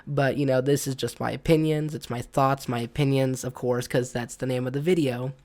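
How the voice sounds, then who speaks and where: A man hosting an unscripted gaming opinion vlog, hoarse and raspy from being sick, rambling and stumbling with lots of ums. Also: medium pitch, fairly even intonation, a little fast, a little mellow. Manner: looks around rather than at the camera. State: hoarse from illness. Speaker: gaming video host.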